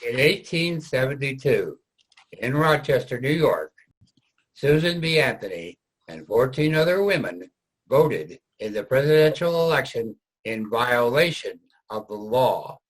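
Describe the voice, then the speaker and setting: Casting: male stage narrator, expressive range, slightly rough voice, historical script. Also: mid-range voice, a little slow, poor audio.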